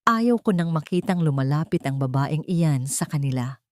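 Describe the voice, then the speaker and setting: A woman narrator plays a stern, wealthy man of the house in an audiobook melodrama. He speaks coldly and gravely, heavy with disappointment, in a dry, close sound. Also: low voice, animated, moderate pace, a little mellow, dry recording. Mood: cold, dismissive. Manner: cold, grave.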